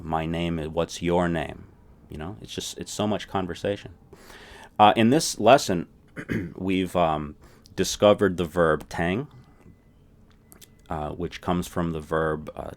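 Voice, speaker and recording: A man's voice: low voice, fairly even intonation, unhurried. A man teaching a recorded language lesson, explaining things step by step and often checking in with "right?".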